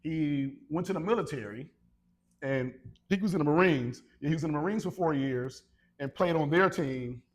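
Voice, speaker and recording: Male American co-host, slightly high register, animated and teasing, informal storytelling between friends. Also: moderate pace. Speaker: podcast co-host. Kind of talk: casual conversation between friends.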